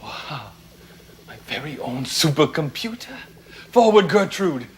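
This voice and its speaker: Male TV-drama tech whiz, high excited register, playful teasing, noisy soundtrack. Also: measured pace. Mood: delighted. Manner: exuberant, teasing. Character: playful, delighted male computer expert. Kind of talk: television drama acting.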